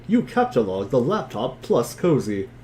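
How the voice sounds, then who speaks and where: A man's voice: mid-range voice, huge pitch swings, unhurried, a little mellow, slight background noise. A man giving a wry, theatrical dramatic reading of narration and dialogue, dropping into casual asides. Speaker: reader in a group dramatic reading.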